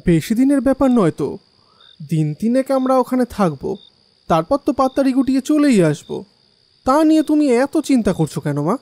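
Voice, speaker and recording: Man as high-voiced young village son, reverberant. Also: very animated, moderate pace, darkish tone, full character voice. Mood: eager, reassuring. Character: young village son, dutiful, eager, reassuring. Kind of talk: audio drama dialogue and first-person story narration. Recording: long hall reverb, music underneath.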